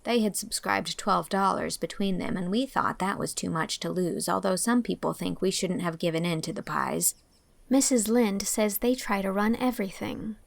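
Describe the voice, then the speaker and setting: Woman playing an earnest, gravelly-edged young woman. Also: medium pitch, moderate pace, no room sound, close to an everyday voice. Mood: bright, chatty. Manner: earnest, expressive, gently scolding. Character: earnest, dreamy, reproachful red-haired young woman. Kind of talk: audiobook character acting.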